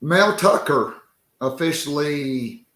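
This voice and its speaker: Hoarse male sports podcast host, slow. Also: fairly high pitch, expressive, fairly bright tone, roomy sound. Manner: loose and joking, slow drawn-out delivery. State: hoarse, worn-out voice. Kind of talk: casual sports talk.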